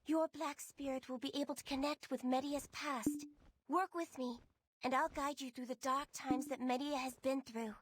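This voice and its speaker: A woman's voice: high voice, steady tone, normal speed, lightly acted. An actress playing a young, gloomy girl prophet in a video game, dramatic and slangy in a girlish way. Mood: urgent, foreboding. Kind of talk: video game character acting. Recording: music behind the voice.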